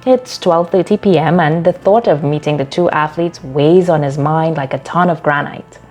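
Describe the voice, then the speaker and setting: A woman with a fairly low voice narrates a scripted short story. Her delivery is measured and dramatic, with faint music playing behind her. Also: darkish tone.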